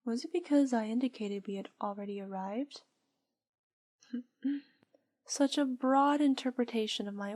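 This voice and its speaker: Woman acting as seductive witch captor, slightly high voice, mellow tone, teasing, breathy, intimate roleplay audio. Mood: commanding. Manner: breathy, teasing, slow and deliberate, often dropping to whispers. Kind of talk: intimate roleplay audio drama, hypnosis ASMR.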